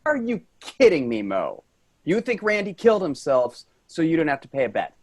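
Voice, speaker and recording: An exasperated office worker who tries to keep the peace, acted by a man. He is earnest and reproachful, with very mobile pitch, in a staged play reading. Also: wildly swooping pitch, normal speed.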